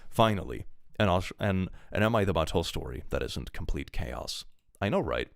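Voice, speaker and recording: A young man streaming live with a powerful voice, reading posts aloud and chatting casually, often jumping into excited exclamations. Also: normal speed, gravelly, dry recording, clean studio sound. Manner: animated, jumps into high excited exclamations.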